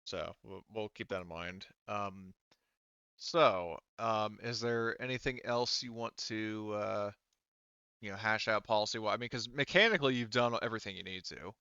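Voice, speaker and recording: A low-voiced man runs a tabletop roleplaying game, working out rules aloud and often rephrasing. His tone is bright and smooth, though the dry recording is a little dulled. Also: normal speed, no room sound. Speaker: game master. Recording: slightly muffled, band-limited voice audio.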